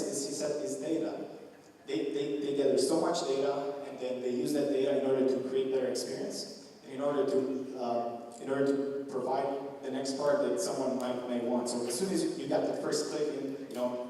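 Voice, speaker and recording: A man's voice: medium pitch, dark tone, a little hiss or hum. A young man from Romania giving a conference talk, loose and full of fillers and restarts. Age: young adult. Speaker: conference speaker. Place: large conference hall. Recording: echoey hall recorded from a distance.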